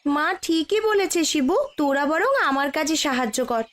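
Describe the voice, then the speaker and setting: Woman voicing a high-pitched, caring cartoon elder sister. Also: animated, normal speed, fairly bright tone. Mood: chiding, kindly. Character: caring, cautioning young doll-making elder sister. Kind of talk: Bengali cartoon story voice acting.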